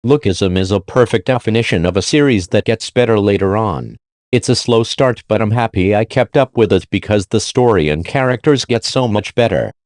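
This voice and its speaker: Male review host, lowish pitch, dry recording. Reads a scripted ranked list in casual, slangy language. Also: lively intonation, normal speed, a little mellow, no echo. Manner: informal, slangy. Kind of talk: scripted countdown review narration.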